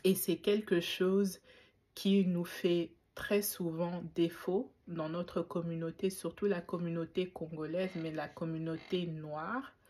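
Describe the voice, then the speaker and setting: A woman's voice: fairly low pitch, flat delivery, slow, a little mellow. Reflective woman vlogger from Belgium. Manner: reflective, faith-inflected, many fillers and restarts. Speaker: YouTuber. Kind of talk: casual talk to camera.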